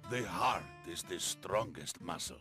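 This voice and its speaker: An actor playing a big, jolly, good-hearted game warrior who speaks hearty, simple broken English. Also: male voice, deep voice, unhurried. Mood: warm reassurance. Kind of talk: video game voice lines. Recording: game clips over background music.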